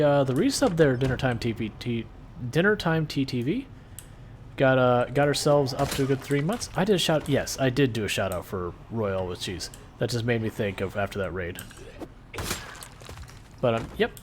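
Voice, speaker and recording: A man streaming a game live, talking through his play in a calm, soothing, laid-back way. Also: medium pitch, normal speed, slight background noise. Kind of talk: casual live stream gameplay commentary.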